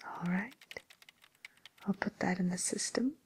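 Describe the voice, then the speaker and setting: A woman playing a polite, tactful matchmaker for villains in an ASMR roleplay, with a soft, whispery voice and hesitant, courteous service patter. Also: mid-range voice, a little slow, a little hiss or hum, lightly acted. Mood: helpful, courteous.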